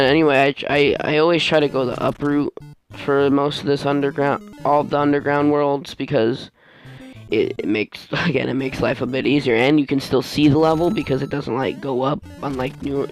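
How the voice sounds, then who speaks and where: A man giving casual let's play commentary as host, rambling and halting as he often loses his train of thought. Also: medium pitch, low-quality recording. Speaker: gaming video host.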